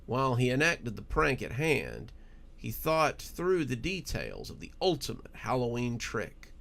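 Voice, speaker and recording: Guy reading a spooky story, gravelly voice, really animated dramatic delivery. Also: medium pitch, a little slow. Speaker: horror story narrator and channel host.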